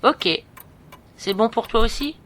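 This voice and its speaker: A woman's voice: fairly high pitch, unhurried, a bit bright. A woman playing a caring, frank and bossy young student friend, coaxing bluntly in informal audio drama dialogue. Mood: upbeat, decided. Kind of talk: amateur French audio fiction dialogue.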